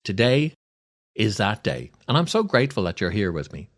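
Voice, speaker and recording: An older man hosting a podcast, reading scripted comic stories and verse in a theatrical, deliberate way with dramatic pauses. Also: lowish voice, sing-song. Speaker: podcast host, writer and actor.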